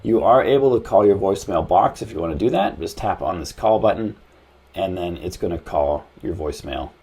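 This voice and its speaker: A man with a lowish, mellow voice and a husky grain walks the listener through phone steps. He is plain-spoken and conversational, instructing casually as a tutorial host. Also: lively intonation, a little fast.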